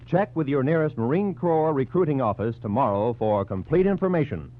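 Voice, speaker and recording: A man's voice: medium pitch, expressive, a little fast. A man with an American accent doing polished, formal scripted announcing in the style of 1940s radio. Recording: dull 1940s radio recording with music. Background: music.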